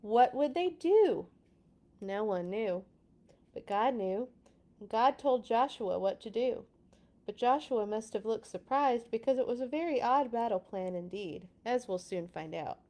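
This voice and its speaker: A woman's voice: on the high side, animated, a little slow, mellow. A woman hosting a children's read-along, reading expressively with dramatic emphasis on key words and a casual, chatty touch. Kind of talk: expressive storybook read-aloud with casual asides.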